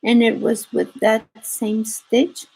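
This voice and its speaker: A native Spanish-speaking woman with a Spanish accent, hosting a casual craft livestream in a halting, rambling way and often repeating phrases. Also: fairly high pitch, flat delivery, vocal fry, darkish tone, breathy edge, poor audio.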